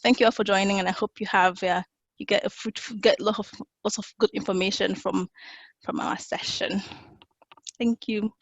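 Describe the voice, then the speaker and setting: Woman engineer, panel intro, gravelly tone, dry video-call audio. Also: mid-range voice, fairly even intonation, moderate pace, dry recording. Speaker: research chemical engineer, panelist. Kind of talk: self-introduction on an online panel.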